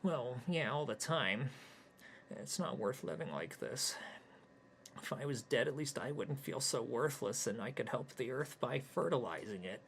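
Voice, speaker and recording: A self-pitying young loser with a mid-range, bright voice, sighing and hesitating through every line, voiced by a man reading his story. He sounds meek and easily crushed. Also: mid-range voice, expressive, unhurried, gravelly, a little hiss or hum, pitched above the performer's own voice. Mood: whiny. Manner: whiny, sighing, hesitant with many "oh" and "uh".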